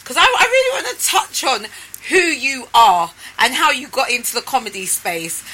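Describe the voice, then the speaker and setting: A woman hosting a casual interview, lively and chatty, often laughing as she talks. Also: high voice, moderate pace, slightly rough, low-quality recording. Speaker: host.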